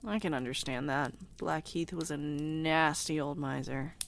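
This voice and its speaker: Low-voiced sardonic onlooker. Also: female voice, steady tone, unhurried, a little hiss or hum. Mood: wry. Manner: dry, casual, wry. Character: sardonic, irreverent woman commenting on the tale. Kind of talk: conversational voice acting in fantasy drama.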